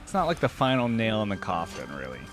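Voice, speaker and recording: A man's voice: on the low side, wildly swooping pitch, fast talker. A man giving casual, enthusiastic reaction commentary in fan slang. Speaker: reaction video host. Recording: loud music and game audio behind. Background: music from the videos being watched.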